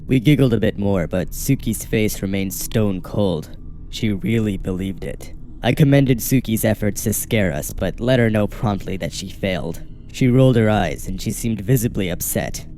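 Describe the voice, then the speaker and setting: A man narrating a first-person horror story in a steady, measured, scripted way. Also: fairly low pitch. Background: faint music.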